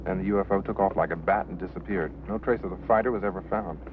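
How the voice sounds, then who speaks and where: An American actor playing an earnest pilot who takes mysteries seriously, in vintage film drama style. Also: male voice, deep voice, fast, lightly acted. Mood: earnest, insistent. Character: earnest male pilot open to UFO mysteries. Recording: muffled, noisy old film soundtrack.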